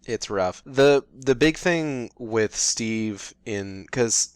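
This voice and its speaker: A man hosting a casual film review podcast, measured, with a laugh between points. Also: mid-range voice, animated, clean tone, no room sound, studio quality. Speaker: podcast host.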